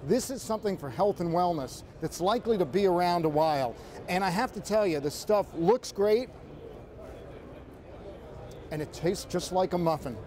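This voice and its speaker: A man's voice: high voice, normal speed, dark tone. A man reporting from a trade show floor, conversational and upbeat, turning to address the anchor by name as he walks through products. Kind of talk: walk-and-talk product report.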